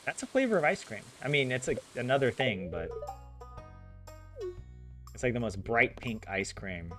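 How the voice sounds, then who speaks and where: A man's voice: medium pitch, animated, normal speed, pushed and bright, faint music behind. A young man with a general American accent chatting casually with his viewers while he plays a game, rambling and correcting himself as he goes. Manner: rambling, chatty, self-correcting, laughs often. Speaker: gaming streamer.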